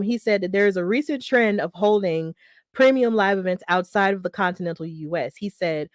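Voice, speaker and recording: A woman's voice: mid-range voice, no echo, studio quality. A woman hosting a solo wrestling news podcast, talking in a chatty, upbeat way with lots of fillers and self-corrections. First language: English. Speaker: podcast host.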